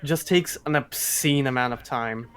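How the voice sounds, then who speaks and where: A man's voice: medium pitch, unhurried, fairly bright tone. A man giving casual live commentary as he explains a video game speedrun.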